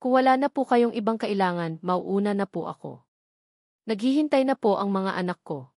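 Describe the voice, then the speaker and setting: A narrator plays a guarded woman in an audio drama, a frightened mother hiding who she is. Her speech is polite but clipped and held in, at a middling pitch with a dry sound. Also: moderate pace, no room sound, lightly acted. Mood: forced calm. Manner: forcedly calm, clipped, polite.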